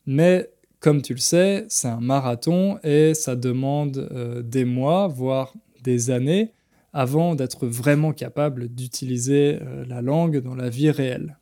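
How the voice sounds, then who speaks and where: A man hosting a podcast for French learners, speaking clearly and deliberately with frequent pauses. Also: mid-range voice, mellow. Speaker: podcast host and French teacher.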